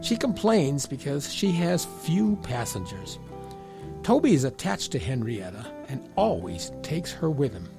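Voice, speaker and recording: Guy narrating a kids storybook, pitch moves around a lot, music playing clearly behind. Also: very animated, measured pace.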